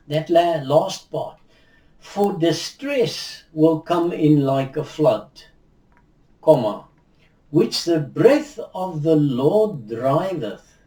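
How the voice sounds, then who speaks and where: Slow, mellow older man. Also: a little high, distant mic. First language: Afrikaans. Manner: measured, reflective, with frequent restarts and hand gestures. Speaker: Bible teacher. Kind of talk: unhurried video teaching. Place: indoors, in front of a virtual backdrop. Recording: roomy echo, voice heard from afar.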